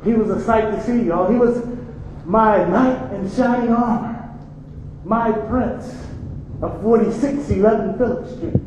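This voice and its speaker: A man performing a grieving mother in a stage play, telling a painful story in a slow, halting way. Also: high voice, darkish tone, distant mic, slight background noise. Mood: sorrowful. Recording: echoey, dull live hall recording.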